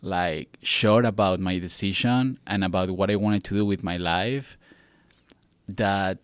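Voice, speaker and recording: A young man with a Spanish accent speaks in a low voice, close and dry on a studio mic. He talks casually and earnestly, stressing his points like a guest in a relaxed interview. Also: a little slow, smooth, no room sound. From Catalonia, Spain. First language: Spanish and Catalan. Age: young adult. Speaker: actor, podcast guest. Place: small podcast studio. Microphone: close boom-arm studio mic.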